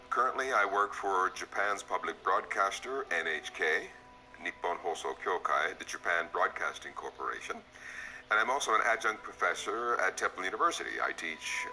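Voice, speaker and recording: A man in his sixties with a very bright, sharp tone introduces himself plainly and steadily. His voice sits a little low. Faint noise runs behind him. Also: low-quality recording. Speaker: broadcast journalist and adjunct professor. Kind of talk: interview self-introduction.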